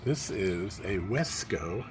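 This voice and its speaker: A man casually showing off an old radio phonograph he restored, talking in a low-key, hesitant way that often trails off mid-sentence. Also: animated, slow. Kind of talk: casual show-and-tell demo. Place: near the radio, away from the house. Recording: noisy amateur recording.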